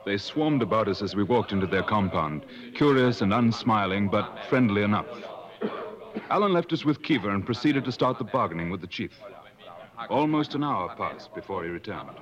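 Man acting an earnest adventurer, low and animated. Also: moderate pace, crowd noise, lightly acted. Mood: measured storytelling. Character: Jack, earnest, puzzled male expedition companion and narrator. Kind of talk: mid-century radio drama narration and dialogue. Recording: dull vintage radio recording with loud music.

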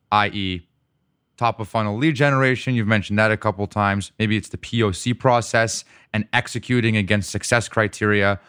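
A man hosting a business podcast, asking methodical, measured questions of his guest. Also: on the low side, a bit bright, clean tone, no room sound, studio quality. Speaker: podcast host.

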